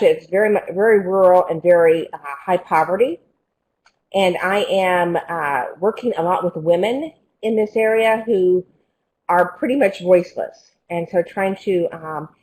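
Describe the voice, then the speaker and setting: A middle-aged woman, a Franciscan sister, introducing her work on a video call panel in a plain-spoken, unhurried way. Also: fairly low pitch, darkish tone, low-quality recording.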